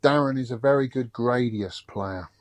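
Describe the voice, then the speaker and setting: A British man co-hosting a podcast, relaxed and easygoing as he chats and reads out listener comments. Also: medium pitch, normal speed, no room sound. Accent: British English. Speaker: podcast co-host. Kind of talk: casual chat, reading listener comments.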